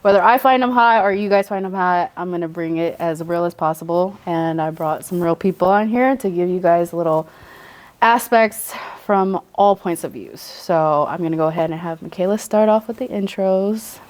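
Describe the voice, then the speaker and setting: A woman's voice: fairly low pitch, moderate pace, a little mellow. A woman in her thirties hosting a podcast panel, chatty and teasing. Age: 30s. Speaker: podcast host. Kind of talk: casual panel discussion.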